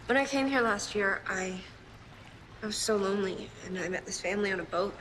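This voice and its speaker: An actress in a series clip, speaking quiet, confiding dramatic dialogue. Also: female voice, mid-range voice, a little fast, slight background noise, close to an everyday voice, rough recording. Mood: wistful.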